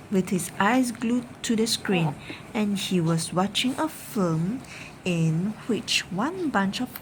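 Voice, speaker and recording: A woman reading a children's bedtime story aloud in an expressive narrating style. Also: medium pitch, rough recording. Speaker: storybook reader. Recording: clear background noise.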